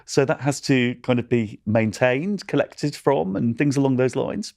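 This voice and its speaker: A man's voice: mid-range voice, animated, unhurried, gravelly, darkish tone. A man co-hosting a podcast, chatting casually and cracking jokes. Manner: playful and jokey. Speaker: podcast co-host. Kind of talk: casual chat.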